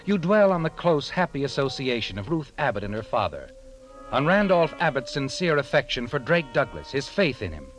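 An actor narrating an old-time radio courtroom drama in the second person, with a suspenseful, deliberate manner. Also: male voice, mid-range voice, very animated, lightly acted, low-quality recording. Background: music.

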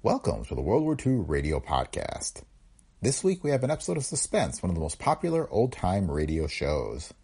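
A man reads a scripted podcast introduction. His voice is dark and husky, with very animated pitch movement. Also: normal speed. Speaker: podcast host. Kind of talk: scripted narration.

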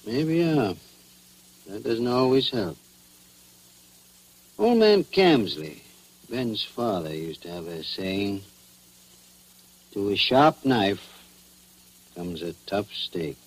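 Shrewd police chief, lively range, rough husky tone, knowing interrogator, 1940s radio crime drama. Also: male voice, lowish voice, full character voice, poor audio. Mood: sorrowful.

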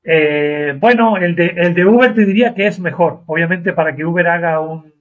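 A man's voice: on the high side, normal speed. A man, a driver being interviewed, speaking in an earnest, emphatic conversational way and repeating himself. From Argentina. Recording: dull lo-fi audio.

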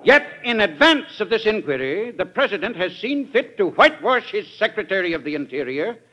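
Righteous crusader, high sharp voice, speechifying, old radio drama. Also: male voice, big pitch swings, a little fast, highs cut off, exaggerated voice. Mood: righteous, insistent. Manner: declamatory. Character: righteous, combative male witness on a crusade. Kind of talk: classic radio drama oratory.

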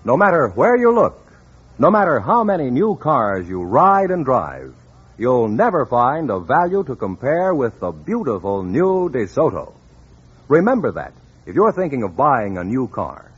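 Swooping, husky male radio pitchman. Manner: emphatic salesman delivery. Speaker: commercial announcer. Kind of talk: 1950s radio advertisement, scripted. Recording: muffled old radio recording.

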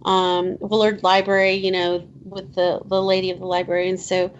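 A woman's voice: lowish voice, little pitch movement, normal speed, slight background noise. A woman in her fifties from Indiana, chatting as an interview guest with many fillers and restarts, laughing now and then. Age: 50s. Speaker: children's book author, guest. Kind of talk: casual interview chat. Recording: slightly dull lo-fi audio.

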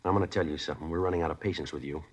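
A stern deadpan cop, very low voice, rapid clipped lines. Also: male voice, husky. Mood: terse. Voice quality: clipped, flat, matter-of-fact delivery. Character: stern deadpan male police detective, narrator. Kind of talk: 1950s police drama acting.

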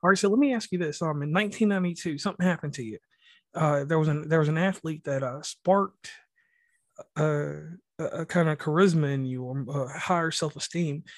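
A man's voice: fairly high pitch, fairly quick, dry recording, clean studio sound. A man hosting a casual podcast interview, rambling a little and restarting his sentences. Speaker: podcast host.

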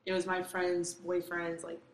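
A raspy-voiced woman in her twenties chatting candidly and telling a personal story. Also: on the low side, completely flat delivery, measured pace. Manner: candid storytelling, swears freely. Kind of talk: casual chat. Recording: echoey room.

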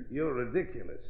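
A man playing a ruthless, sadistic gang boss, menacing and sneering, in an old-time radio drama. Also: mid-range voice. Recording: tinny, hissy old radio recording, roomy.

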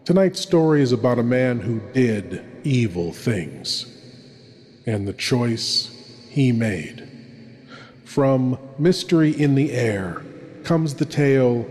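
Horror anthology host, acted by a man: slow, sinister, intimate, dramatic pauses, drenched in long reverb. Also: mid-range voice, expressive, darkish tone. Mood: ominous, brooding. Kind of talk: theatrical scripted horror introduction.

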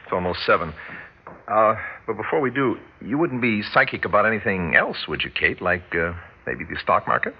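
An actor playing a hesitant, genial suburban husband in a radio drama. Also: male voice, lowish voice, lively intonation, moderate pace, husky. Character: Phil, hesitant, genial suburban husband curious about psychics. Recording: dull, faintly noisy radio recording.

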